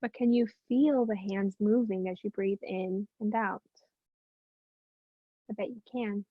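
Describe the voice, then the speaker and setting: A woman teaching meditation, speaking gently and unhurriedly with long pauses in soothing guided instruction. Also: medium pitch, fairly even intonation, dry recording, narrow, tinny audio.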